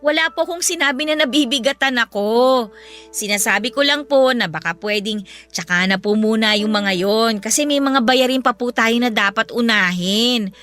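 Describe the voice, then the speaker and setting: Woman acting young filipino daughter, high bright voice, respectful but hurt, radio drama w faint music. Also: normal speed, close to an everyday voice. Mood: pleading. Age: young adult. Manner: respectful, pleading. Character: dutiful, hurt young working daughter pleading with her mother.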